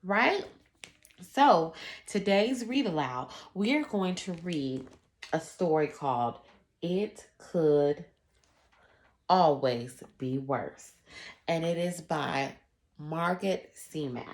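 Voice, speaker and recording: Female teacher for a children's read-aloud, slightly low voice, highly varied intonation, instructive and emphatic. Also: animated, slow, pauses often. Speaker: schoolteacher.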